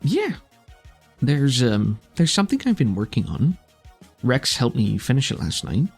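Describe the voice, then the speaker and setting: A game master voicing an awkward, earnest young woman inventor who talks haltingly, in a tabletop game. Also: very deep voice, wildly swooping pitch, darkish tone, breathy edge, faint music behind, heavily acted. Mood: gentle, earnest. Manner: hesitant, halting.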